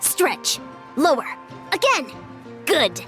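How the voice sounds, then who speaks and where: Young woman coach, game role: brisk, instructive, high voice with lively intonation, faint music underneath. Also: exaggerated voice. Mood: brisk, matter-of-fact. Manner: matter-of-fact, instructive.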